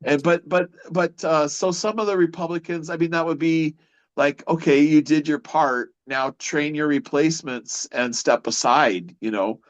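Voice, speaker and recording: A man's voice: a little high, muffled recording. Rambling man interviewing. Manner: rambling, halting, many restarts. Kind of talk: casual political conversation.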